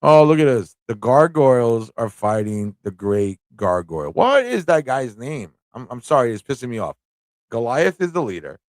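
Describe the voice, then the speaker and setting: A man's voice: mid-range voice, very animated, moderate pace, no room sound. Casual, off-the-cuff male livestream host, dry sound. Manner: informal, off-the-cuff, thinks aloud, repeats phrases. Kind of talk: casual rambling livestream chat and commentary.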